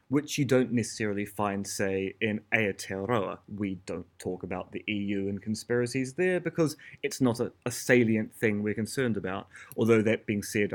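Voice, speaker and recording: New Zealand man giving a philosophy talk. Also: on the low side. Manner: measured, dry humor, frequent "so" and "uh". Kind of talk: academic lecture.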